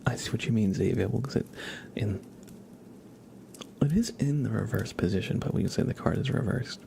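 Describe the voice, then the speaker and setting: A soft-spoken man whispering gently and politely in a casual ASMR livestream, chatting with viewers and reading cards. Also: fairly low pitch, fairly quick, vocal fry, slight background noise.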